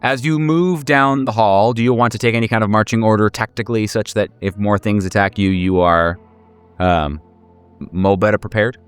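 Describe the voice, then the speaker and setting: A man with a low, resonant voice hosts a horror tabletop game. He moves between improvised narration and casual table talk, haltingly, circling back over his phrases. Faint music sits behind him. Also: fairly even intonation, clean tone, no echo. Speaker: game master and host.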